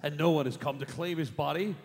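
Pleading, frightened old miser, acted by a man: high, creaky, radio play in a reverberant hall. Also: crowd noise. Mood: fearful. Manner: anxious, halting, imploring. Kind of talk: staged radio drama reading. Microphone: stage mic on stand.

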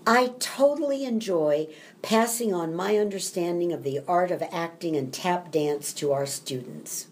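A woman's voice: fairly low pitch, slight background noise. An older woman, an acting teacher, reading a scripted first-person narration. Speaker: acting and tap dance teacher.

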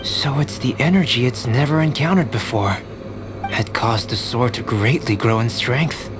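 A composed young male alchemist, played by a man in a game. He speaks in a measured, understated, analytical way, with music clearly behind him. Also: medium pitch, natural voice. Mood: pondering.

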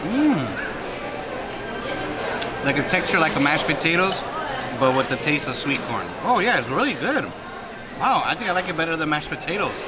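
A man's voice: on the high side, very animated, normal speed, pauses often. A young man casually presenting and tasting dishes at a restaurant table, friendly and asking questions. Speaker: food vlogger. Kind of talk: casual food review chat. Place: busy restaurant dining room. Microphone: camera across the table. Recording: loud diners all around. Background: loud restaurant crowd chatter.

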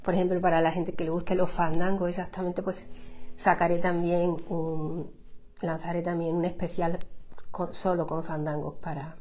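A woman's voice: fairly low pitch, flat delivery, moderate pace, warm tone, soft background music. A middle-aged woman from Andalusia with an Andalusian accent, chatting effusively with viewers on a home livestream, with many restarts and fillers. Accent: Andalusian Spanish. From Andalusia, Spain. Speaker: singer-songwriter hosting a livestream. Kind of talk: casual livestream chat with viewers. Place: living room at home, indoors. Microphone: laptop or phone camera at close range.

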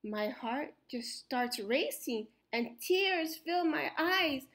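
A woman reads a children's picture book aloud in a high voice with very lively, swooping intonation. She phrases the rhymes deliberately and dramatically, leaning on key words as a storyteller does for young listeners. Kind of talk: expressive children's read-aloud of rhyming text.

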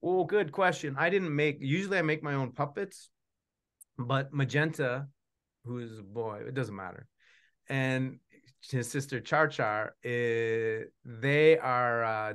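A man's voice: medium pitch, a little slow, a bit bright. A middle-aged man answering questions on a video call, chatting casually in a rambling, self-effacing way. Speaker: puppeteer answering audience questions. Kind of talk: casual chat. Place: indoor room at home, video call.

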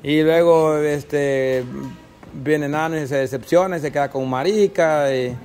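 A man's voice: a little high, a little slow, a little mellow, faint music behind. A man hosting a casual, joking chat with visitors. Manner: teasing, joking. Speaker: host. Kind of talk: casual banter. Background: crowd chatter.

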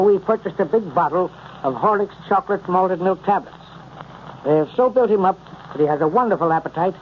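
A man reading a testimonial letter in a formal, measured way for a 1930s radio ad. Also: high-pitched, slight background noise. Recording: tinny old radio transcription.

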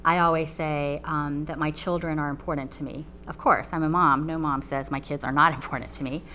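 A low-pitched woman professor speaks in a measured, explanatory way, often pausing on "um". Her first language is Chinese. She sits in a TV studio, and a faint hum runs under the voice. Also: fairly even intonation, pushed and bright, poor audio. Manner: measured, explanatory, frequent ums. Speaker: college professor. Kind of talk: recorded talk to a webinar audience. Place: TV studio with a painted backdrop.

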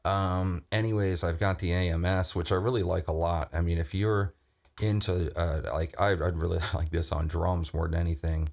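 A man's voice: sits low, fairly even intonation, moderate pace, creaky, a little mellow. A middle-aged man casually explaining his vocal effects chain in a home music studio, talking loosely with many fillers and restarts. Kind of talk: casual screen-recorded tutorial. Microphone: studio condenser mic with headphones.

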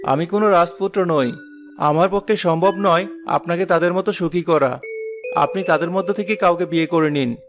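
A man's voice: high voice, moderate pace, faint music behind. Man playing a humble young cartoon commoner. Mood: resigned. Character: humble ordinary young village man in love. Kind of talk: fairy-tale cartoon voice acting.

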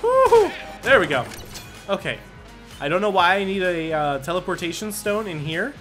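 A man giving let's play commentary, thinking aloud, reading item text and breaking into quick excited exclamations. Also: sits high. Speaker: gaming channel host. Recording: game music playing underneath. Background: game music.

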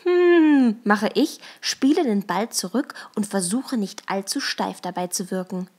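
A woman's voice: mid-range voice, normal speed, breathy edge. A young adult woman reading her own short story as a first-person literary narrator. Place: improvised desk studio at home.

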